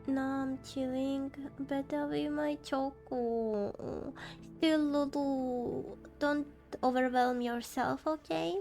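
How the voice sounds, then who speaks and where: A woman livestreamer chatting casually as she plays a game, with a halting, soft-hearted manner. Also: sits high, steady tone. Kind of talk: casual game stream chat, reading along. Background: game music.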